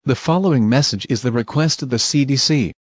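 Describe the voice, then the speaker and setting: A man's voice: medium pitch, fairly quick, a little hiss or hum. A man reading a scripted emergency broadcast announcement as the alert's announcer.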